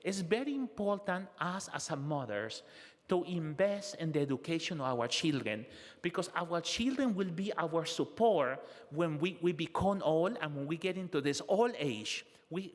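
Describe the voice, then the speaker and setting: A man interpreting testimony in the first person, halting and filling pauses with "eh" and "huh?", at a city council hearing. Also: fairly high pitch, long reverb tail. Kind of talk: consecutive interpretation of public testimony.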